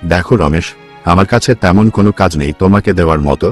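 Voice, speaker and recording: Man voicing a low, stingy village headman. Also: quick pace, faint music behind, close to an everyday voice. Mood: calculating, curt. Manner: curt, condescending. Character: stingy, scheming village headman exploiting the needy. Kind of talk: Bengali cartoon story dialogue.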